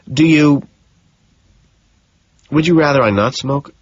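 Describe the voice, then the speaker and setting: A man's voice: on the high side, slow, husky, no echo, lo-fi sound, pitched above the performer's own voice. A man voicing a polite, tentative investigator who asks probing questions, in audiobook character dialogue.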